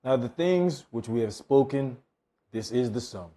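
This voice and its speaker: Dark-voiced man reading scripture. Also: mid-range voice, expressive, a little slow. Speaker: scripture reader.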